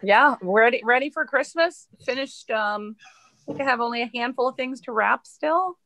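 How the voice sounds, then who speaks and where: A woman's voice: fairly high pitch, moderate pace, fairly bright tone, no echo, poor audio. A woman chatting casually in a group video call. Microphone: computer on a video call.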